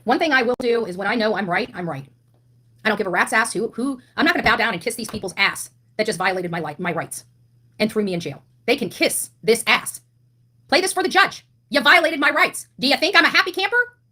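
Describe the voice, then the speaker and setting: A woman's voice: a little high, expressive, a little fast, bright, forceful tone. A woman delivering an impassioned monologue as an online commentator, blunt and indignant, leaning on rhetorical questions and emphatic repetition. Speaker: online commentator on a missing-child case.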